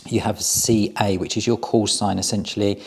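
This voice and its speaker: A man with a fairly low voice talks through a product demonstration. His delivery is casual and plain-spoken, explaining things step by step as a reviewer would. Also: flat delivery, moderate pace, breathy edge.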